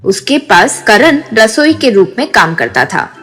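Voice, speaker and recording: A woman's voice: a little high, sing-song, a little slow, a bit bright. A woman reading a scripted moral story as narrator, with music behind.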